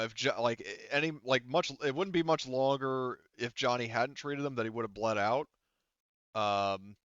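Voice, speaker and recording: A man speaking as a game master in a casual, unscripted way, halting and often rephrasing. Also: mid-range voice, a bit bright, clear, resonant tone, no room sound, dull audio. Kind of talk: unscripted tabletop game narration and casual talk.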